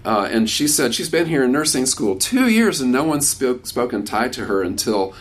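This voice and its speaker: A man's voice: mid-range voice, very animated, normal speed. Older male speaker, sixties, loose conversational delivery with restarts, answers questions in a workshop. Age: 60s. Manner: warm, conversational, many restarts. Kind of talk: casual talk with questions from the audience.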